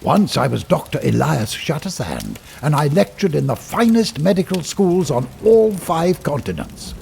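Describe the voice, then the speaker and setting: An actor playing an eccentric, hospitable old former doctor with a creaky voice and a halting, theatrical manner in a period audio drama. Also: male voice, fairly high pitch, very animated, a little mellow, slight background noise, heavily acted. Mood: wistful, rambling. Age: older. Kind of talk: audio drama acting in 1930s period setting.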